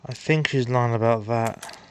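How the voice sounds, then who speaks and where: A man's voice: medium pitch, unhurried, background noise. A man giving casual playthrough commentary, thinking aloud as he plays. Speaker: gaming streamer.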